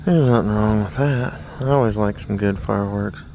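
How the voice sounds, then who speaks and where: An American man in his thirties, rambling casually and haltingly as he thinks aloud in a laid-back hobby vlog. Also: fairly low pitch, darkish tone. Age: 30s. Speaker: radio hobbyist, vlogger. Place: small backyard shed. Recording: noisy shed, fireworks in distance. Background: background noise, distant fireworks popping.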